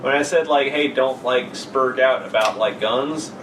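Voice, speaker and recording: A man's voice: medium pitch, unhurried. A man co-hosting a podcast with brash, dismissive interjections and casual, sweary banter. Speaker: podcast co-host. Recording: echoey noisy room, distant mic.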